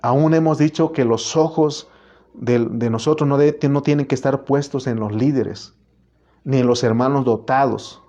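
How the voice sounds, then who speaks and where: Earnest Mexican preacher, dark dull sound. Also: male voice, medium pitch, normal speed. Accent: Mexican Spanish. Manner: earnest, repetitive preaching with restarts. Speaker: pastor. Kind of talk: sermon.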